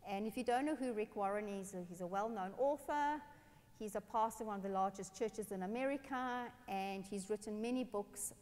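A woman from South Africa, a pastor telling personal stories in a lively sermon on a church stage. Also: mid-range voice, moderate pace. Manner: animated, expressive, gestures while speaking. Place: large dark church stage. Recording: long church hall reverb.

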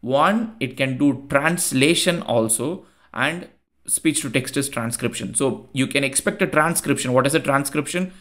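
A man in his thirties with a thick Indian accent, a Tamil speaker, explaining software in a screen-share tutorial over a headset mic. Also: mid-range voice, moderate pace. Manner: earnest, repeats phrases for emphasis. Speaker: tech YouTuber.

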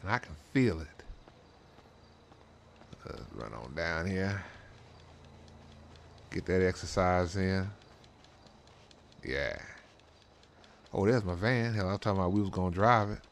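Deep-voiced man, slangy and rambling. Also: a little slow, long pauses. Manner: slangy, rambling, frequent "you know what I'm saying". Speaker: host of a drag racing role-play channel. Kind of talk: casual in-character game role-play commentary. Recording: clear background noise.

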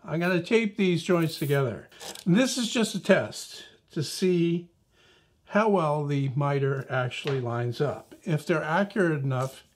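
A man's voice: a little high, measured pace. Man, middle-aged, woodworking host, casual shop talk, explains while working, small workshop, lapel mic.

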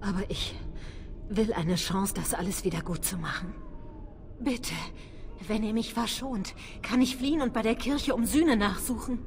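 A woman's voice: medium pitch, normal speed, darkish tone, a little hiss or hum, lightly acted. A woman playing a defeated rebel blood mage in a fantasy game, earnest and impassioned. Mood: remorseful, pleading. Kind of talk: dramatic fantasy video game acting.

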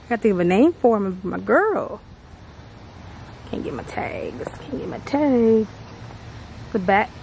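A woman's voice: medium pitch, lively intonation, measured pace, gravelly, long pauses, a little mellow, a little hiss or hum, low-quality recording. A twenty-year-old woman vlogging in a bubbly, chatty way, swearing freely, talking into a phone held at arm's length. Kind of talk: excited casual vlog. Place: small home bathroom, then outdoors by a car. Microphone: phone camera at arm's length.

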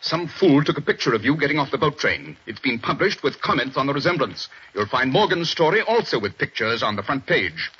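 An actor playing a stern middle-aged police chief inspector, in a 1940s radio drama, husky and clipped. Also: male voice, medium pitch, expressive, fairly quick, few pauses, bright, forceful tone, full character voice. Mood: grave. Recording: dull old radio broadcast.

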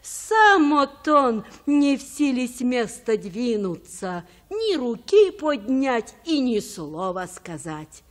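An actress playing a gentle old grandmother, telling folk tales in a measured, sing-song chant. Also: fairly high pitch, big pitch swings. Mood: sorrowful, chanting. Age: older. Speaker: storyteller. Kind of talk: folk verse tale, sing-song storytelling.

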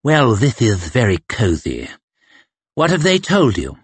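A man voicing a bitter, crippled male torturer who talks in a low, quiet, cutting way. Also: wildly swooping pitch, measured pace, slightly rough, no room sound, full character voice. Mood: cold contempt.

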